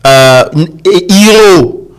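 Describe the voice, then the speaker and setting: A man's voice: sits high, lively intonation, slow pace, smooth. A man from DR Congo with a Congolese accent, a guest in a casual studio chat, rambling and telling earnest stories.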